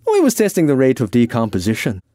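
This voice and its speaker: An eccentric ghost of an Irishman, acted out by a male narrator for an audiobook tale. He is pitched well up and talks in wordy, blithe evasions. His temper is mischievous and unbothered, and the sound is dry and close. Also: huge pitch swings, quick pace, dark tone, dry recording.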